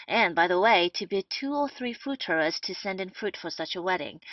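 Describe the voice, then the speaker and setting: A woman's voice: mid-range voice, no echo, natural voice. A woman playing a bustling, boastful, talkative male captain in an audio play of a period comedy. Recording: dull, lo-fi, dry audio.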